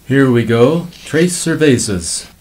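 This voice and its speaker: A man's voice: mid-range voice, animated, slow, a little mellow. A man in a radio play acting a polite, obliging Mexican waiter.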